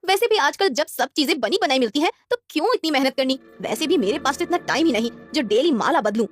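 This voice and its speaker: A woman's voice: sits high, lively intonation, quick pace, faint music behind. A woman voicing a boastful, scornful rich young woman in a cartoon moral story, with a haughty, mocking manner.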